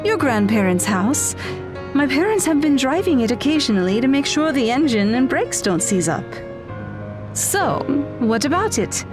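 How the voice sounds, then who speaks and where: A woman's voice: high voice, big pitch swings, moderate pace, over music. A woman playing a kind, upbeat middle-aged mother in an audio drama. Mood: chatty. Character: kind, upbeat widowed mother of a teenage daughter.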